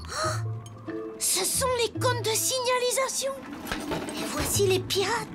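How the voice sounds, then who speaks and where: A woman's voice: very high voice, unhurried, over loud music. A woman playing an earnest, cheerful little wooden boy detective in a children's cartoon. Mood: excited. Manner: earnest, eager. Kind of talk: animated children's cartoon dialogue.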